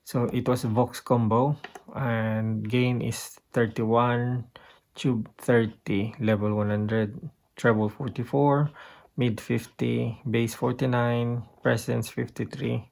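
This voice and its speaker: A man with a Filipino accent giving a casual, unscripted guitar gear demonstration, haltingly thinking aloud as he goes. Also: mid-range voice, flat delivery, vocal fry, darkish tone. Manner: halting, thinking aloud while pointing out settings.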